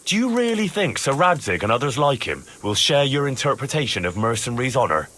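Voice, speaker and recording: An actor playing an earnest, principled young soldier who argues loyally and challengingly in a video game. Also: male voice, mid-range voice, very animated, a little fast, bright, forceful tone. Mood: reproachful. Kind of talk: dramatic video game dialogue.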